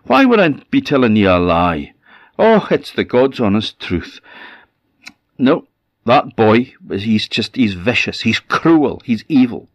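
A man's voice: mid-range voice, big pitch swings, takes it slowly, no room sound, lo-fi sound, pitched above the performer's own voice. A man voicing a whining, lying female goat in a folk tale, moaning and self-pitying in a Scottish accent. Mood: scheming, accusing. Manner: moaning, theatrically aggrieved.

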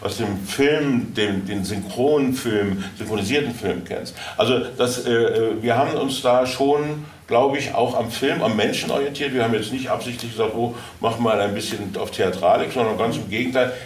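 A man giving informal answers as an audio drama producer, haltingly and searching for his words. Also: medium pitch. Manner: halting, searching for words, many false starts. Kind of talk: informal question-and-answer talk. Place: indoor convention hall, live event. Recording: echoey, noisy room, far from mic.

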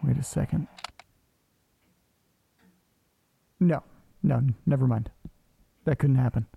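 A man's voice: mid-range voice, normal speed, gravelly, mellow, slightly rough. An actor playing an uneasy man talking to himself in an audio drama. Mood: startled, doubtful.

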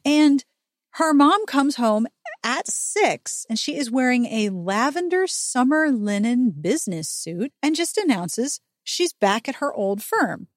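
A woman hosting a podcast, giving a chatty book recap with animated, sarcastic and sweary commentary. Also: on the high side, no echo, clean studio sound. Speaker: podcast host.

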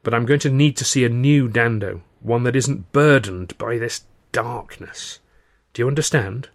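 A man's voice: medium pitch, moderate pace, natural voice. A man voicing a loving but weary and firm young woman in audiobook dialogue, speaking earnestly and pointedly.

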